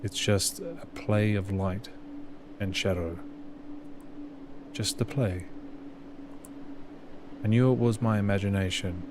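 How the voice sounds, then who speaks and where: A man's voice: lowish voice, fairly even intonation, takes it slowly, pauses often, background noise. A man hosting a gaming channel, doing let's play commentary and reading game text aloud. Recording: music loud behind the voice. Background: game music.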